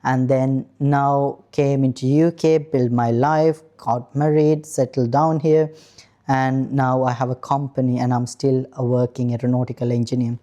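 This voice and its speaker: A man's voice: mid-range voice, flat delivery, a little slow, warm tone. A man in his thirties from Sri Lanka, an aeronautical engineer frankly telling his own story straight to the viewer. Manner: earnest, frank, addresses viewer directly. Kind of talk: personal story told to camera.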